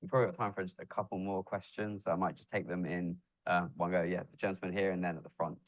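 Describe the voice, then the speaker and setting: A man moderating a panel event, brisk and polite while taking questions. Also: deep voice, level tone, no echo, dull audio.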